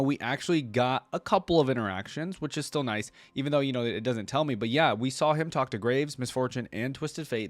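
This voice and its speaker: A man's voice: mid-range voice, no echo. A man hosting a reaction video, giving casual, informal commentary and thinking aloud as he goes.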